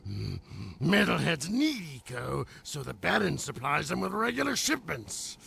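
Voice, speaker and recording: An actor playing a sly, greedy underworld crime boss in a video game, with a thick gravelly rasp and a drawling, grumbling delivery. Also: male voice, on the high side, huge pitch swings, pushed and bright, heavily acted. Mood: irritated, urgent. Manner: slow drawl, punctuated with questioning "eh?" tags. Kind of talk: video game cutscene acting.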